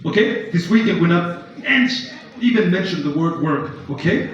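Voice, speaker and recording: A man's voice: high voice, lively intonation, moderate pace. Distant hall recording, dull sound, male actor as frustrated boyfriend, insistent, pleading, repetitive, live stage drama. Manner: insistent, pleading, repeats himself. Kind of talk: live stage play acting. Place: live theatre stage, large indoor room. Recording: echoey hall, distant mic, dull, audience noise.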